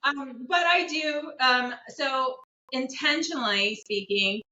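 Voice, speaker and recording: A woman's voice: high-pitched, takes it slowly, bright, forceful tone. A middle-aged businesswoman, whose first language is Korean, chatting in an interview in a reflective way with many fillers. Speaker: guest, business owner. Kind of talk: casual interview conversation. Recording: dull lo-fi audio.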